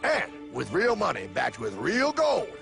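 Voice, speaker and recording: A man's voice: sits high, huge pitch swings, measured pace, gravelly, fairly bright tone, faint music behind, heavily acted. A man with an American accent voicing a folksy, sardonic wise-guy guide in a cartoon, explaining things theatrically. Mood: wry storytelling. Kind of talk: cartoon narration and dialogue.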